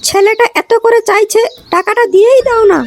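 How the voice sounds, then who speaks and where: A man voicing a soft-hearted village housewife and mother in a comic cartoon, coaxing in a squeaky voice. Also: fairly even intonation, brisk, faint music behind.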